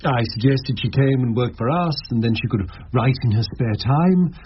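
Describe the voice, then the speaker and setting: An actor as a reserved older English gentleman, muffled recording. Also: mid-range voice, expressive, normal speed, close to an everyday voice. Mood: subdued, fond. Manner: polite, hesitant, restrained. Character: reserved, well-to-do older English estate agent father. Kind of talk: radio drama dialogue. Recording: muffled, noisy lo-fi radio recording.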